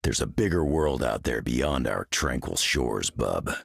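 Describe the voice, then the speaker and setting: An actor playing a gruff, tough brawler hero in a video game, with a deep, gravelly growl. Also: male voice, animated, unhurried, no room sound, clean studio sound, full character voice. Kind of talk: video game character acting.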